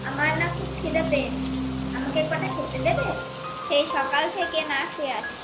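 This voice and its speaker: Cartoon casting: timid, hungry little girl, voiced by a woman, high and plaintive, music and rain behind. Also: normal speed, roomy sound, close to an everyday voice. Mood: pleading. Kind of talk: Bengali moral-story cartoon dub.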